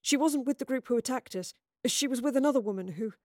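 A young male soldier in an adventure story, played by a woman narrator. He sounds puzzled and hesitant, deferential to his betters, in a voice pitched a little high and recorded very dry. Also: quick pace, a little mellow, no room sound, natural voice. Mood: nervous. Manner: hesitant, perplexed.

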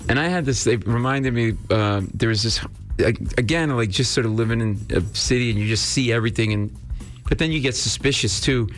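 A man's voice: mid-range voice, fairly quick, faint music behind. A man from Rhode Island, a comedian guest chatting on a radio morning show, telling stories in a rambling way with lots of fillers. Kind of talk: casual radio studio chat. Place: radio studio.

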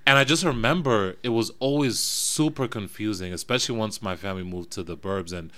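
Podcast host, young man of West African family from New Jersey, slightly low, bright and forceful voice, thoughtful, halting. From West African family, raised in New Jersey. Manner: reflective, thinks aloud with restarts. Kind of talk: casual conversation.

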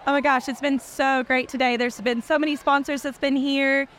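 A woman's voice: high-pitched, level tone, normal speed, a bit bright. A woman teacher, a guest at a live event, talking with gushing enthusiasm. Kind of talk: casual interview. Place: outdoor event fair.

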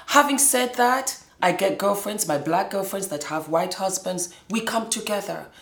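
Lively woman host in an echoey room. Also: medium pitch, normal speed. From Scotland. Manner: animated, laughs often. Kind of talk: casual personal monologue.

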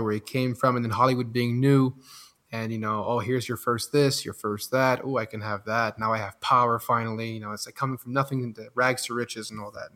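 A man's voice: mid-range voice, bright, forceful tone. Reflective man raised in Belgium. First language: French. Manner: reflective, rambling, many restarts. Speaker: guest. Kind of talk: casual podcast conversation.

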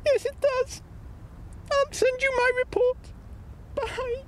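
Officious female assessor acted by a woman, prim and clipped, very high pitch, comic audio drama. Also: level tone, slow pace, noisy background. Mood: tearful. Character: prim, stern female child-custody assessor.

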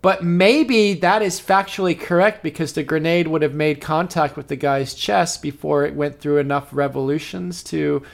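A man in his forties co-hosting a podcast, musing out loud with hesitant, trailing-off sentences. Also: a little high, fairly even intonation, normal speed, vocal fry, hardly any pauses. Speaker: podcast co-host. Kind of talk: casual movie discussion.

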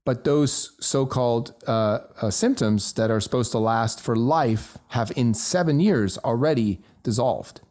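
A man who grew up in Switzerland and speaks German as his first language, talking in a casual conversation with emphatic rises in pitch on key points. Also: measured pace, hardly any pauses, a little mellow.

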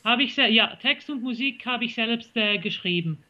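A woman from Belgium with a Belgian accent, answering interview questions as a country singer, pausing often to search for words. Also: a little high, sharp tone, lo-fi sound, a little hiss or hum. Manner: halting, searches for German words with many fillers.

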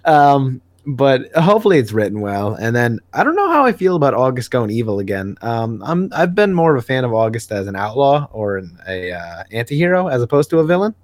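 A man's voice: mid-range voice, big pitch swings, brisk, hardly any pauses, no room sound. A man co-hosting a podcast, chatting casually about comics in a rambling, hesitant way and often correcting himself. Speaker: podcast co-host. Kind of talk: casual comics discussion chat.

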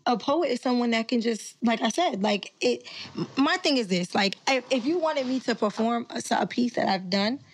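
A woman's voice: a little high, expressive, normal speed, slight background noise, rough recording. A woman poet talking casually and confidently, rambling with many restarts as she explains her views. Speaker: poet and battle performer. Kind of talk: casual podcast interview.

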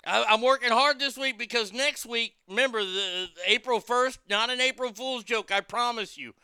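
A man's voice: very high-pitched, moderate pace, pushed and bright, clean tone, no echo. A man hosting a talk radio show, chatting loosely and crudely and reading out listener messages. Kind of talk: casual talk radio banter.

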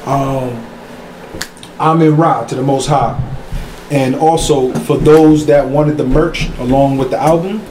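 A man's voice: medium pitch, fairly even intonation, a little slow, darkish tone. Rambling man in an echoey room. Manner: loose, rambling, repeats himself. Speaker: guest musician. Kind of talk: casual podcast talk. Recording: echoey room with faint noise.

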